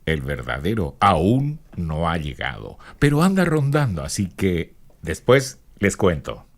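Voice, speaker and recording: An actor playing a suave, candid male film star answering in a dramatized magazine interview, with measured, courtly phrasing. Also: low-pitched, big pitch swings, exaggerated voice.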